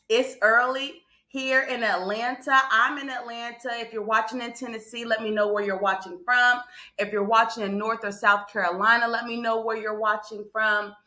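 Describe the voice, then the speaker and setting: A woman delivers a motivational morning sermon to online listeners. Her voice is bright and forceful at a middle pitch, and the room echoes around it. She leans on repeated phrases and often checks in with her audience. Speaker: guest preacher hosting a morning livestream. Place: indoor room on a livestream. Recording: roomy, echoing livestream audio.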